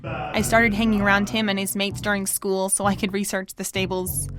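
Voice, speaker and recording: A woman's voice: mid-range voice, little pitch movement, moderate pace, lightly acted. A woman voicing a bookish pony who dreams of writing novels, in an audio drama. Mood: brash, teasing. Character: bookish filly pony, later a brash aspiring novelist. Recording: music behind the voice.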